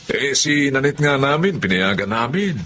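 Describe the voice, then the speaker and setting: A man's voice: medium pitch, big pitch swings, moderate pace, bright, forceful tone, a little hiss or hum. A man playing a friendly, frank, lenient neighbour who probes tactfully in a Tagalog radio drama. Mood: concerned, questioning.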